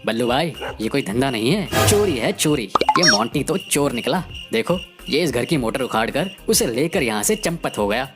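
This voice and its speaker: A very low-pitched, swooping female-acted village man from a cartoon folk tale, cocky and scheming. He talks emphatically and colloquially, quick to scoff and boss people around, with music playing underneath. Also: expressive. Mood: indignant, sly. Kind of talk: animated Hindi moral story dialogue. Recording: music behind the voice.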